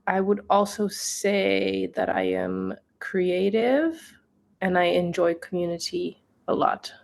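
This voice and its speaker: A young woman who grew up in Tanzania, a podcast guest telling her story in a relaxed, thoughtful way. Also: on the low side, slow pace, vocal fry.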